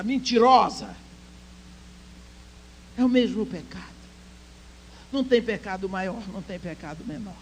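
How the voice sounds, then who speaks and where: A woman whose first language is English, preaching with passion at a women's church conference, swinging between loud proclaiming and hushed storytelling. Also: a little high, a little hiss or hum. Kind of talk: sermon.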